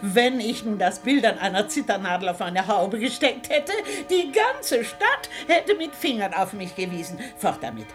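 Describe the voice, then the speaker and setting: A woman's voice: mid-range voice, big pitch swings, moderate pace, few pauses, faint music behind, close to an everyday voice. An actress playing an imperious, scolding old grandmother in a theatrical radio comedy, indignant and sharply questioning.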